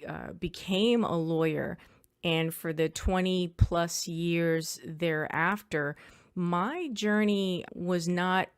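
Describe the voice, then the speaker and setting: A woman's voice: on the low side, steady tone, slow pace, clear, resonant tone, dry recording, studio quality. A middle-aged woman, a native Spanish speaker, answering interview questions as an attorney, earnest and thoughtful with small restarts. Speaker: estate planning attorney, guest.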